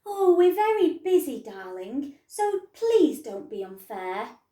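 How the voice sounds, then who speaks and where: A woman's voice: high voice, sing-song, slow pace, mellow, reverberant, pitched above the performer's own voice, heavily acted. A woman doing a busy, breezy parent. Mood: dismissive. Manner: breezy and dismissive. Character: busy, distracted, affectionate parent.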